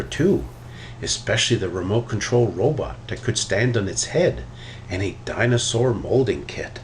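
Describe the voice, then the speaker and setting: A man reading a children's picture book aloud in an expressive, animated way. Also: mid-range voice, low-quality recording. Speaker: storybook reader. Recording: noticeable background noise.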